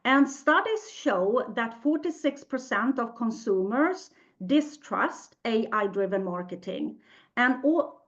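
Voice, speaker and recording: A woman's voice: on the high side, muffled recording. A woman from Sweden with a Swedish accent, hosting a live webinar in English, her second language, in a chatty, upbeat way and reading out the live chat. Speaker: webinar host.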